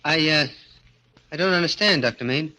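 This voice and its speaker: An actor playing a bitter, anguished vaudeville little person in a 1940s radio drama, intense and halting. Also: male voice, fairly high pitch, sharp tone, low-quality recording. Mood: anxious, pleading.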